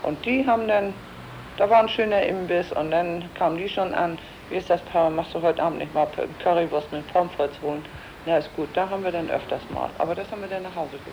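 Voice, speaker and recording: A man's voice: high voice, fast, vocal fry, dull audio. A man telling a homely family anecdote as an interviewee. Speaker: interviewee, a father. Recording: noisy tape with traffic hum.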